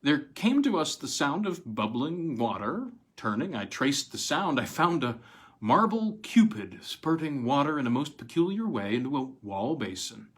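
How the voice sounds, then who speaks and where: A man narrates an old piece of short fiction in a dramatic storytelling style, phrasing deliberately with pauses. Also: medium pitch, big pitch swings, breathy edge.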